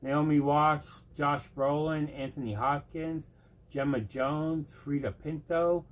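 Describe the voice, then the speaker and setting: A woman's voice: deep voice, steady tone, slow pace. A woman co-hosting a casual movie chat, giving short, blunt verdicts. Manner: terse, blunt comments. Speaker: co-host.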